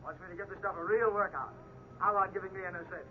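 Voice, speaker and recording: An actor playing an eager, chummy American fishing buddy, breezy, heard through a telephone filter in a 1950s radio drama. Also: male voice, high-pitched, fairly even intonation, rapid-fire, phone-quality sound. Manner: breezy, colloquial. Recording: thin telephone sound on hissy old radio.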